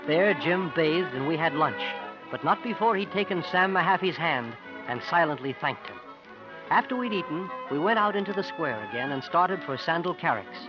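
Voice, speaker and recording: A man plays an earnest young sidekick in vintage radio drama, telling the tale. His voice is high, the sound muffled, with prominent music. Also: lively intonation, moderate pace, natural voice. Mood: calm narration. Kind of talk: 1950s radio drama narration and dialogue. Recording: muffled 1950s radio broadcast.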